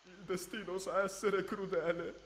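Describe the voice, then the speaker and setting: A man voicing a soft-hearted, boyish young athlete in an Italian anime fan dub. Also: sits high, little pitch movement, a little slow. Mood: wistful.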